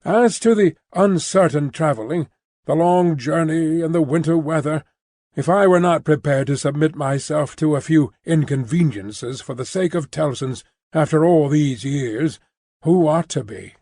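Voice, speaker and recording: An elderly, loyal banker from a classic English novel, acted out by a male audiobook reader. He speaks in a raised male register with brisk, emphatic, old-fashioned phrasing and stubborn good cheer. The sound is very dry. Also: a little high, warm tone, dry recording. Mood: cheerful confidence. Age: late seventies. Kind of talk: audiobook character dialogue.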